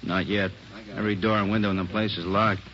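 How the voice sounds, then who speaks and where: Casting: man acting a laconic cop, low-voiced, clipped reports, dull vintage radio sound. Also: little pitch movement, quick pace, close to an everyday voice. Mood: matter-of-fact. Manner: clipped, matter-of-fact. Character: laconic male policeman reporting at a crime scene. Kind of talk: 1950s radio police drama. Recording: dull old-time radio recording.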